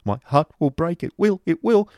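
A man voicing a timid, broken-spirited youth from a storybook, stammering and pleading in a high, strained voice. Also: lively intonation, slow pace, dark tone, no room sound, studio quality. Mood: sobbing, despairing. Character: timid, broken-spirited simple youth, a frightened abused drudge.

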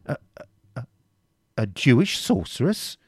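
A scheming preceptor who feigns deep humility, as a man acts him out in a storybook reading. He stammers in a high, strained register far above the reader's own voice. The sound is close and dry. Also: sing-song, normal speed, warm tone, no echo. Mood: flustered, humble. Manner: humble, flustered, stammering.

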